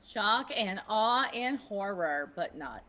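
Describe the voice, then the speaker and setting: A woman's voice: mid-range voice, expressive, slow pace, sharp tone. A woman chatting casually and emphatically, repeating words for stress. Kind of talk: casual chat.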